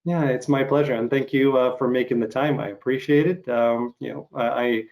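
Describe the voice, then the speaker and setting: A middle-aged man from Ukraine hosts a casual interview over a video call. He talks at an ordinary pitch for a man, in a halting, rambling way that keeps looping back on itself. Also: steady tone, creaky, a little mellow. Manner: halting, rambling, frequent restarts. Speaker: interview host.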